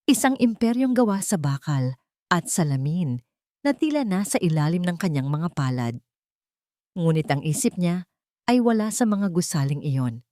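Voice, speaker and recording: A woman storyteller with a slightly low voice that rises and falls widely as she reads a romance tale. Her phrasing is deliberate and suspenseful, and the recording is very dry. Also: dark tone, dry recording. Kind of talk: dramatic scripted narration of a romance story.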